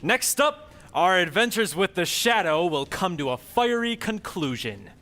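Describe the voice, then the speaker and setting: A man works as announcer and narrator in an old-time radio style. His voice is high and forceful, with big swings in pitch. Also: sing-song, measured pace.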